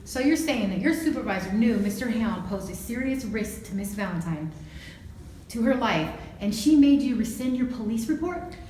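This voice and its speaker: A woman's voice: a little high, expressive, normal speed, mellow, natural voice. A woman acting a formal, probing prosecutor in an amateur courtroom drama. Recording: very echoey room, distant, faint noise.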